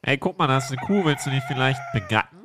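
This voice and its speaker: Animated man improvising comedy. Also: medium pitch, fast talker, background noise. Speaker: comedy performer. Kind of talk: improvised comedy banter and narration.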